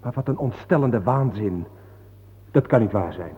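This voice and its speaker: An actor playing a troubled, easily appalled companion, asking earnest, uneasy questions in a radio play. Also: male voice, fairly low pitch, animated, normal speed, slightly rough. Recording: noisy, tinny phone-like old radio recording.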